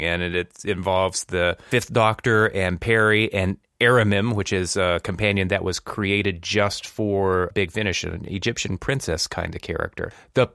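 A man with a fairly low voice hosts a conversational podcast. The recording is dry, with very little room sound around him. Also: gravelly, a bit bright, no echo. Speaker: podcast host.

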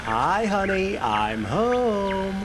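An actor playing a nervous colleague at a polar research station, speaking hesitantly in a radio drama. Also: male voice, high-pitched, sing-song, drawn-out words, heavy background noise, full character voice. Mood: casual. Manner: anxious, hesitant.

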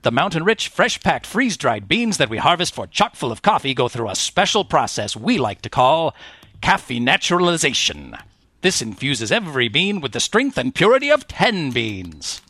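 Old-time sponsor announcer, enthusiastic and animated, crisp dry sound, parody radio spot male actor. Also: a little high, moderate pace, no echo, full character voice. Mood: upbeat, salesy. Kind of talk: parody of 1940s radio advertising.

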